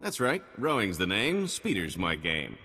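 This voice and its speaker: An actor playing a slippery alien smuggler who passes himself off as a glib merchant in a video game. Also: male voice, sits low, wildly swooping pitch, unhurried, pushed and bright, breathy edge, in a large hall, full character voice. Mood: glib, rushed. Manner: glib, evasive salesman patter.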